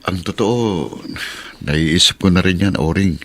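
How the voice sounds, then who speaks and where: Casting: middle-aged family man for radio drama, deep voice, pensive and practical. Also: a little slow, a bit bright, full character voice. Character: thoughtful, practical husband, father of four. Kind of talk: Tagalog radio drama acting.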